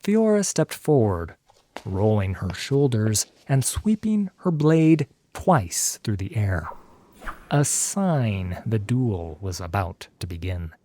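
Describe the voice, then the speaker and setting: A man reads a dramatic scripted story as narrator. His voice is dark and slow, with wide swings of pitch and deliberate phrasing. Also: no echo, clean studio sound.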